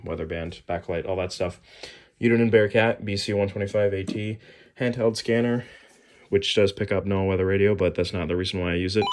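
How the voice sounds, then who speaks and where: A man giving a casual show-and-tell product rundown as a hobbyist video host, informal and somewhat halting. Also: sits low, darkish tone. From New England, United States.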